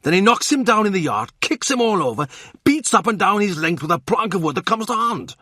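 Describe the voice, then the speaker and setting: A man voicing an excitable, self-important man who rants with heated indignation. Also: high-pitched, fairly quick, dry recording, clean studio sound, voice pushed up, heavily acted. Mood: ranting.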